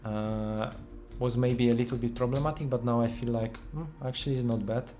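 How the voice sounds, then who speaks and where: A man with a Polish accent, a native Polish speaker, casually commenting on a game he is playing while thinking aloud with many fillers and pauses. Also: mid-range voice, fairly even intonation, dark tone. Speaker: game developer streaming gameplay.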